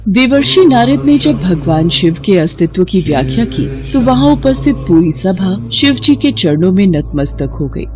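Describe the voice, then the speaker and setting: A woman's voice: medium pitch, very animated, normal speed, hardly any pauses. A woman reading a scripted devotional story as its narrator. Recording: prominent background music.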